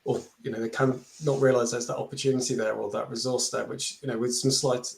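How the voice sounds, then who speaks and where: A man's voice: medium pitch, level tone, fast, creaky, echoey room, a little hiss or hum. A man speaking as a webinar panelist over a video call, hesitant and searching for his words.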